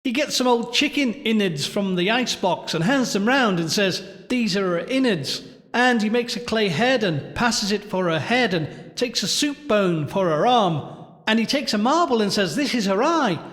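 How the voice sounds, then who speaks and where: A man voicing an excited know-it-all child in a horror story, explaining eagerly and gleefully. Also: sits high, moderate pace, voice pushed up. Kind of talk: dramatic horror story reading. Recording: long cavernous reverb.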